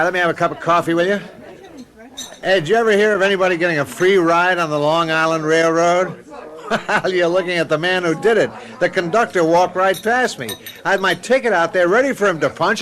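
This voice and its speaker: An actor playing a middle-aged suburban husband in a radio drama, affable and conversational but easily irked. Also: male voice, high-pitched, a bit bright. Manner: conversational, folksy, grumbles when slighted. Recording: noisy radio drama with background sound effects.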